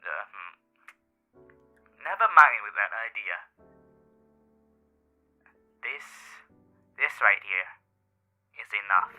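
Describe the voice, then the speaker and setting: A man's voice: lowish voice, expressive, pushed and bright, exaggerated voice. A man voicing a vain, smitten radio demon in a theatrical, pompous, teasing manner through an old-time radio filter, in character roleplay audio. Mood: playful. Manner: theatrical, pompous, teasing, with laughs and halting asides. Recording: muffled band-limited radio sound. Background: music.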